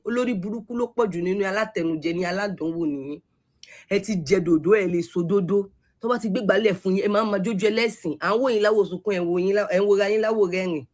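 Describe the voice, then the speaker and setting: A woman's voice: mid-range voice, a little mellow. A Yoruba-speaking woman with a Yoruba accent, scolding at length in an angry online rant and switching between Yoruba and English. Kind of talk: angry social media rant.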